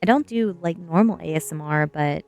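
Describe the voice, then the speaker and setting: A soft-spoken woman streamer doing an ASMR reading in an unhurried, cozy storytelling way. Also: lowish voice. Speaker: streamer, host reading aloud. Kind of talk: ASMR reading and chatting to viewers. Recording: music behind the voice. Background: music.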